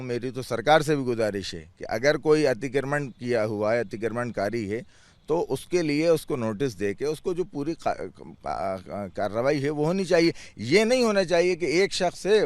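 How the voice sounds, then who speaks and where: A man being interviewed speaks with earnest conviction, circling back to his points and stumbling over the first sounds of words. His voice sits at an ordinary pitch. The sound is dry, with no room echo. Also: animated, moderate pace. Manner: earnest, repeats phrases, restarts words, pleading tone. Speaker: chairman of a clerics' board, interviewee. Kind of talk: news interview answers.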